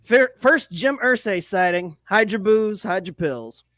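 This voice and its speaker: High voiced guy on a sports podcast, super animated, sarcastic and sweary, dry sound. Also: a little slow, dry recording. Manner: sarcastic, profane. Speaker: podcast co-host. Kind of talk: casual sports comedy banter, reading his notes.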